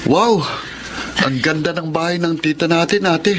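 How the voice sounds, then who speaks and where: Eager, high-pitched schoolboy, acted by a man. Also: moderate pace, over music, lightly acted. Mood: amazed. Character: eager young schoolboy younger brother. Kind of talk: Filipino radio drama acting.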